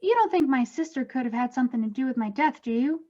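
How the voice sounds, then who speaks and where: Woman playing a high-pitched doting ghost mom. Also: expressive, fast, mellow. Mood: puzzled recalling. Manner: doting, chatty, overbearing. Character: gushing middle-aged mother ghost, fussy and doting. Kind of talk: animated comedy table read. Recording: dull lo-fi microphone.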